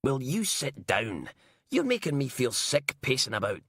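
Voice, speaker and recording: A man's voice: mid-range voice, wildly swooping pitch, normal speed, a bit bright, breathy edge, no echo, studio quality, pitched above the performer's own voice, heavily acted. A man reading an audiobook and voicing a crude, sarcastic female police inspector. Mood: mocking.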